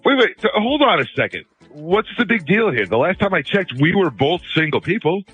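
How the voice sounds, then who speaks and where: A man's voice: high voice, sing-song, fairly quick, fairly bright tone, muffled recording, faint music behind. A young man with an American accent speaking casually and hesitantly as a caller on a radio show. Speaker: phone-in guest. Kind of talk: casual phone conversation.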